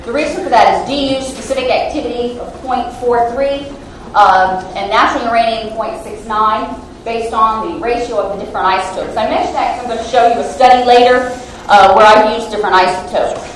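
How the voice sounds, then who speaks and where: A woman's voice: fairly high pitch, fairly quick, a little hiss or hum. A woman scientist giving an academic lecture into a handheld microphone, self-deprecating and hesitant with many fillers. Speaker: guest lecturer, lab scientist. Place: large lecture hall. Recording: echoey hall, mic far off.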